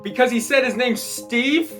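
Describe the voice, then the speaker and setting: A man with a fairly high-pitched voice rambles through casual film commentary. He sounds exasperated and swears freely. Music plays clearly behind him. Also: unhurried, bright, forceful tone. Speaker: video commentator.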